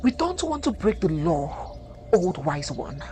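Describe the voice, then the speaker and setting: A woman's voice: lowish voice, big pitch swings, measured pace, mellow, a little hiss or hum. A woman voicing a brave young lover in a folktale, earnest and pleading.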